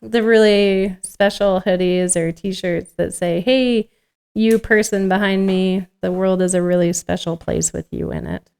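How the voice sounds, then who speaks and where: A woman's voice: medium pitch, fairly even intonation, a little slow, gravelly, a little mellow, clean tone. A woman co-hosting a podcast in casual conversation, given to dry, joking asides. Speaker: podcast co-host.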